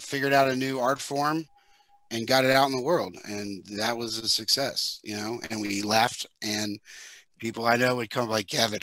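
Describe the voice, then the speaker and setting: A man in his fifties chatting informally on a panel through a headset, in a halting way. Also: lowish voice, sharp tone, no echo. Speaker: audio drama creator, panel guest. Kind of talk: casual panel talk. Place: living room indoors, video call. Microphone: headset with boom mic.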